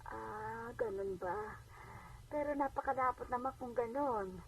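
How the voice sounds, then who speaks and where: A woman's voice: sits high, lively intonation, a little slow, gravelly, husky, full character voice. A woman playing a humble, generous elderly grandmother in a Filipino radio drama, coaxing and hesitant in manner. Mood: meek, explaining. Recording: distant, noisy AM radio playback.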